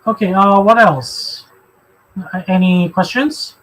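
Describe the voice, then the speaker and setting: A man from the Philippines with a Filipino accent, hosting a religious discussion in a halting way, often repeating phrases. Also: high voice, a bit bright, poor audio. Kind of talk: live religious discussion, answering questions.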